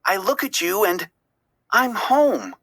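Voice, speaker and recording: Woman voicing a high, pleading cartoon fish lady. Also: big pitch swings, slow pace, fairly bright tone, slightly rough, no room sound, exaggerated voice. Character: pleading, excitable, friendly female fish.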